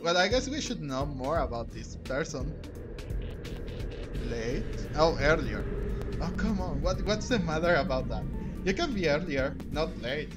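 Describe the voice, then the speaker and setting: A man's voice: fairly high pitch, sing-song, a little slow. A man giving casual, chatty gameplay commentary, reacting aloud to the game as he plays. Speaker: game streamer. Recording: game music behind the voice. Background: game music.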